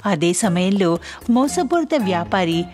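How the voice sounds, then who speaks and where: A woman narrator telling a scripted children's story in a clear storytelling manner. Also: medium pitch, very animated, measured pace, darkish tone, soft background music.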